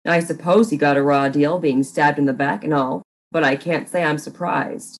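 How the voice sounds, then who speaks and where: Woman putting on a low-pitched, dry, flippant young man. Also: fairly even intonation, moderate pace, rough recording. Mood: dry, defensive. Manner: dry, offhand, evasive. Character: flippant mustachioed nephew, a defensive murder suspect.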